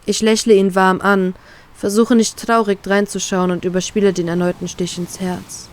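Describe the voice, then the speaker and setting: A woman's voice: medium pitch, flat delivery, moderate pace. A woman reading a first-person fan fiction story aloud, voicing a heartbroken inner monologue.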